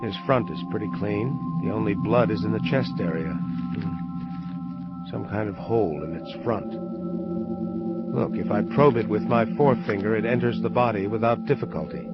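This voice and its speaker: An actor playing a grimly determined avenging angel detective, plain-spoken and terse, in a hardboiled audio drama. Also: male voice, lowish voice, moderate pace, lo-fi sound, over loud music, natural voice. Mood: clinical.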